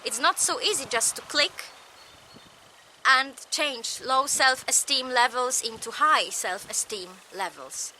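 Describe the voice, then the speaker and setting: A woman with a Polish accent, a native Polish speaker, giving a recorded lecture in slow, measured, short phrases. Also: sits high, sharp tone, slight background noise, rough recording.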